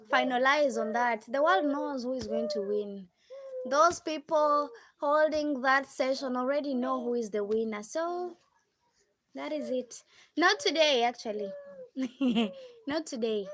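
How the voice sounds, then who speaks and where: A woman's voice: high-pitched, pushed and bright. A woman from Kenya with a Kenyan accent, hosting a casual live chat, reading out viewer comments and repeating phrases for emphasis. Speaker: livestream host.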